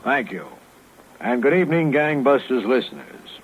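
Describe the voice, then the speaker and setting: Formal police-lieutenant narrator, an actor on thin old radio. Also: male voice, mid-range voice, animated, lightly acted. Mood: composed, authoritative. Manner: formal, measured, matter-of-fact. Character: composed retired male police lieutenant narrating case. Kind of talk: scripted 1950s radio narration. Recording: thin, narrowband 1950s radio recording.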